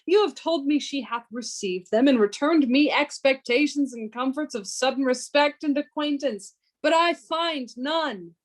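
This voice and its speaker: A woman playing an aggrieved, gullible gentleman suitor in a Shakespearean radio play, indignant and petulant. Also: sits high, unhurried, hardly any pauses.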